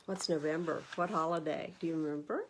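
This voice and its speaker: A woman's voice: sits low, a little fast, a little hiss or hum. A woman with an American accent hosting a storytime for young children, reading a picture book aloud and putting questions to her listeners in a teacherly way. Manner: animated teacherly asides, asking the listeners questions.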